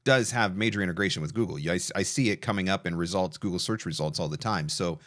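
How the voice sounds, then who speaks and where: A man's voice: low-pitched, fast, a bit bright. A man hosting a live online session in a casual, chatty and enthusiastic way. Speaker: host of an online demo session.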